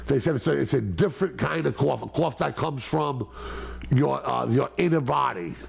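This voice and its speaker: Older guy hosting a loose chat, real gravelly husky voice, rambles and jokes a lot. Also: mid-range voice, normal speed. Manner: rambling, joking, lively. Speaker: host. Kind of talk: casual talk show chat.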